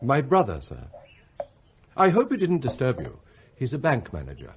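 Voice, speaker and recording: An actor playing an immaculate, deferential English butler in a radio play, formal and ornate in his speech, with a quietly plotting air. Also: mid-range voice, huge pitch swings, slight background noise. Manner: formal, measured, ornate wording. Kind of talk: radio play acting and narration.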